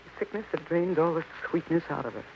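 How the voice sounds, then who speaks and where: An actress playing an overwrought, defensive, insistent wife in an old-time radio crime melodrama. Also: female voice, low voice, animated, fast, gravelly. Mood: anguished, indignant. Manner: intense, dramatic, insistent. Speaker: radio drama actor. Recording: dull, noisy vintage radio recording.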